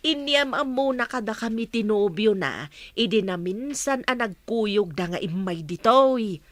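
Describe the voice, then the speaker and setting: A woman playing a gossipy, excitable young housemaid in an Ilocano radio comedy drama. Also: mid-range voice, measured pace, no echo, close to an everyday voice. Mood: excited, teasing.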